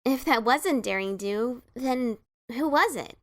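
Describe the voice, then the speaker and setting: A woman's voice: on the high side, animated, takes it slowly, dry recording, clean studio sound. A woman voicing a brash, impatient, tough young female cartoon pony with a snappish, grumbling manner. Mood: puzzled. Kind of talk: dramatic reading of a cartoon fan story.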